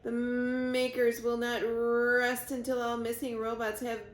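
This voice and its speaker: A broken male hunter robot from a storybook, acted by a woman, ominous and stuttering, dragging out each word very slowly. The room echoes around it. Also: on the high side, monotone, slight background noise, lightly acted. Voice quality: quiet, garbled, stuttering. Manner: halting, drawn-out words.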